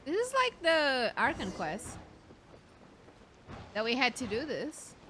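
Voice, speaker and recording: A woman's voice: high voice, huge pitch swings, slow pace, fairly bright tone, slight background noise, poor audio. A young woman giving casual, playful reactions as she plays a game on stream. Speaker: gaming streamer. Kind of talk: casual let's play reaction commentary.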